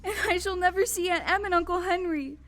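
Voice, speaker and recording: Sweet, polite young farm girl, played by an actress, very high voice, earnest audio-drama delivery, faint music. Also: steady tone, fairly quick, a bit bright. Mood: worried.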